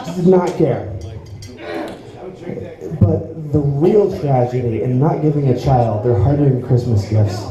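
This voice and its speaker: Rambling man doing stand-up in a club. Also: medium pitch. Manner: rambling, self-mocking, with acted-out quotes. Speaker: stand-up comedian. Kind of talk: stand-up comedy set. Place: small comedy club stage. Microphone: handheld mic, heard from the audience. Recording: echoey club with audience noise. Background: audience.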